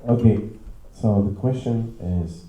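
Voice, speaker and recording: A man facilitating a group workshop, giving loose, halting instructions in a conference room. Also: medium pitch, fairly even intonation, warm tone, slight background noise. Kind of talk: leading a group round. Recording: roomy echo.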